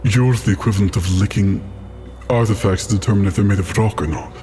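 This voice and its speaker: A man voicing a proud, bitter ifrit genie in a tabletop game, speaking in a measured, stern way. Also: fairly low pitch, dark tone, soft background music, close to an everyday voice. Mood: distrustful. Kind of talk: improvised tabletop roleplay.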